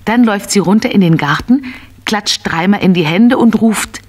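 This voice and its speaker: A woman storyteller with a husky edge and a middle-register voice. She narrates a children's audio play expressively, in simple phrases meant for small listeners. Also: medium pitch, normal speed, darkish tone.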